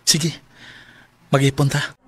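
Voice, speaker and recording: A man's voice: a little high, flat delivery, takes it slowly, a bit bright, faint music behind. A man with a Cebuano Filipino accent playing an earnest separated father in his late thirties in a radio drama. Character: earnest 37-year-old separated father courting a younger woman.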